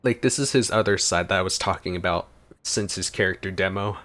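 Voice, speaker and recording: A man's voice: sits low, brisk, pushed and bright. A man giving casual let's play commentary as he goes through a video game. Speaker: gameplay commentator. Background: game music.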